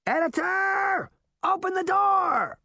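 A man's voice: sits high, sing-song, moderate pace, no echo, clean studio sound, voice pushed up. A man voicing a cocky, defiant boy fairy trickster with a boastful, daring delivery. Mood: shouting, impatient.

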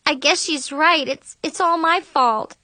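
A woman's voice: on the high side, animated, normal speed, sharp tone, no echo. A woman voicing an earnest, pleading, self-blaming teenage girl in an audiobook. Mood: guilty, apologetic. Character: apologetic, emotional teenage girl narrator-heroine, self-blaming.

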